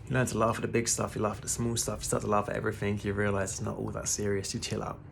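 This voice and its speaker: A man's voice: lowish voice, completely flat delivery, very fast, creaky, slight background noise. A man in his thirties giving a casual, laid-back spiritual talk to the camera, often calling the listener "man" and swearing now and then. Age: 30s. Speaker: spiritual vlogger.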